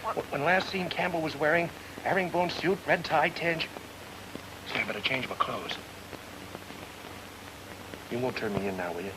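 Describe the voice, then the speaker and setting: An actor playing a glib private detective on the run, sweet-talking in a 1940s film noir style. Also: male voice, on the high side, normal speed, pauses often, noisy background, close to an everyday voice. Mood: glib, urgent. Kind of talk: 1940s film noir comedy-mystery acting.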